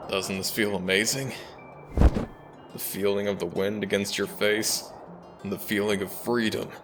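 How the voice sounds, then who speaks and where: Smitten dragon shifter prince played by a man for romantic audio drama: very low, slow delivery, tender and hesitant. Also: flat delivery, music in the background, close to an everyday voice. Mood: warm, elated. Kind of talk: ASMR romantic audio roleplay addressed to listener.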